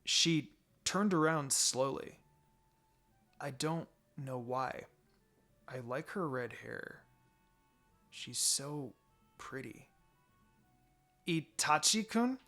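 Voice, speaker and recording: A man reading a scripted story aloud in an expressive, dramatic narrating style. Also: medium pitch, drawn-out words, creaky, long pauses. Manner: expressive, voices the dialogue. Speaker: fan fiction reader. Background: background music.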